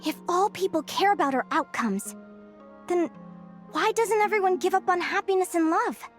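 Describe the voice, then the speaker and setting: A woman playing a cheerful, stubbornly optimistic young adventurer in a video game, bubbly, excitable and earnest. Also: very high voice, normal speed, faint music behind. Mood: earnest, resolute. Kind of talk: animated video game voice acting.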